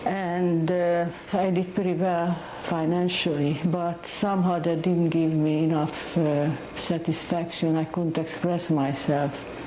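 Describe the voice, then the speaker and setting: A painter from Hungary, a woman speaking English with a Hungarian accent, talks about her art in a halting, searching way. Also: on the low side, little pitch movement, dark tone, echoey room, a little hiss or hum, low-quality recording. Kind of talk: interview monologue.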